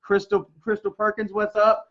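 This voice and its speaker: A man's voice: sits high, monotone, moderate pace. A man in his thirties chatting casually on a livestream, rambling with lots of "man" and "bro" and frequent laughs. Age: 30s. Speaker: livestream co-host. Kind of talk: casual chat reading live comments. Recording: lo-fi livestream audio.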